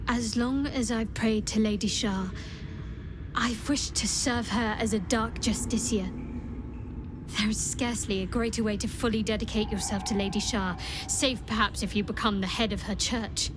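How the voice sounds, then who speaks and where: A woman's voice: mid-range voice, steady tone, normal speed, natural voice. An actress playing a guarded, devout young woman cleric in a fantasy video game, speaking earnestly and solemnly. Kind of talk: video game character dialogue. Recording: clear ambient noise behind the voice.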